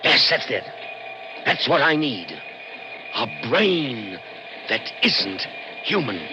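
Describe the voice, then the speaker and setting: An actor in a 1960s radio drama playing a brooding, intense scientist with theatrical, deliberate emphasis. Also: male voice, mid-range voice, very animated, very noisy. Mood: intense, musing. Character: obsessive brilliant male scientist, brooding and intense. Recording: lo-fi old radio broadcast recording.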